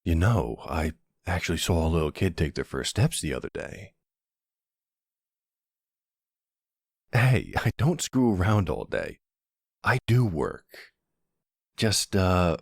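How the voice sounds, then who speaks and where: Man playing a deep, gravelly flirty mafia boss. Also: dry recording, clean studio sound, full character voice. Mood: flirty, affectionate.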